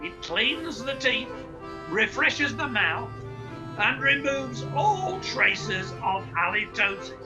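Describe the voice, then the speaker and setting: Radio play role: performer as slick, grandiloquent street hawker. Unhurried, highly animated delivery over music. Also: poor audio. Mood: slick, showy. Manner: grandiloquent showman's patter. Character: brash, slick street hawker of toothpaste and hair tonic. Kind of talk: theatrical radio play acting, sales patter.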